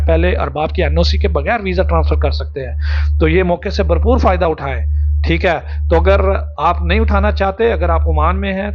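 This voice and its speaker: A man hosting a casual YouTube talk in Oman, chatty and full of advice, often asking his guest to agree. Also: a little high. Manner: chatty, advising, asks for agreement. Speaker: YouTube host. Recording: lo-fi dull recording.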